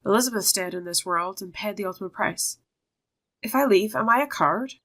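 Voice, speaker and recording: A woman's voice: medium pitch, fairly quick, fairly bright tone, close to an everyday voice. Earnest young horse-riding heroine read by a woman. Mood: troubled, earnest.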